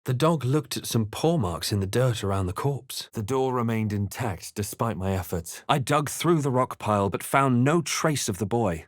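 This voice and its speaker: A man voicing a grave, watchful, wry spellcasting adventurer hero in a video game, with a measured, dramatic delivery. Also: on the low side, no room sound, clean studio sound, lightly acted. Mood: grave, narrating. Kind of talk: video game voice lines, dramatic narration and incantations.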